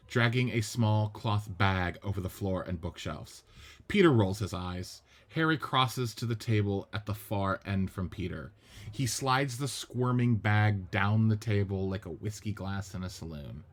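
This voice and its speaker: A man narrating the stage directions of a script reading in a steady, matter-of-fact way. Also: lowish voice, measured pace.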